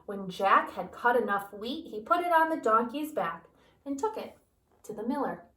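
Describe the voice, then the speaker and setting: A kindergarten teacher reads a picture book to young children and stops to explain things in simple words. Her voice is a bit high for a woman. The sound is echoey and slightly distant from the mic. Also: expressive, normal speed, pushed and bright. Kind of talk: storytime read-aloud for young children.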